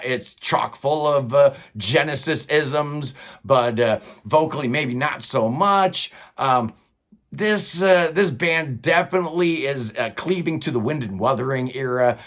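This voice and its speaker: A man's voice: on the high side, fairly bright tone. An older man hosting a prog rock countdown from a record-filled home studio, talking in a loose, enthusiastic, casual way with plenty of fillers. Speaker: host of a prog rock channel.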